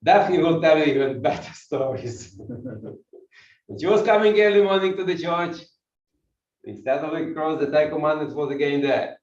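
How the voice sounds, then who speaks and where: A man with a Ukrainian accent preaching and pacing as he talks, firing questions at the people in front of him. His voice sits fairly high and sounds dull and distant. It echoes around a church. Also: moderate pace, a little mellow. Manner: walks while preaching, asks the congregation questions. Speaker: guest pastor. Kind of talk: sermon with audience questions. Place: church hall.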